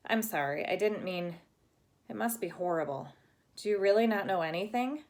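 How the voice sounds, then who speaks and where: A woman voicing a shy, awkward schoolboy from a storybook who speaks in a hesitant, gentle way. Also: medium pitch, lively intonation, normal speed, lightly acted.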